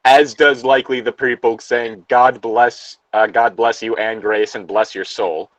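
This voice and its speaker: A man's voice: mid-range voice, fairly even intonation, measured pace, a bit bright, no room sound, dull audio. A man giving incredulous, opinionated commentary in a casual, hesitant way, sometimes reading aloud. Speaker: co-host reacting to fan fiction and its reviews.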